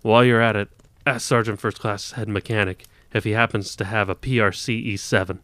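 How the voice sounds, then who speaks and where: A man's voice: fairly low pitch, fairly even intonation, a little fast, a bit bright, dry recording, lightly acted. A man voicing a condescending male staff sergeant who talks down to a new private. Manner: condescending, talking down.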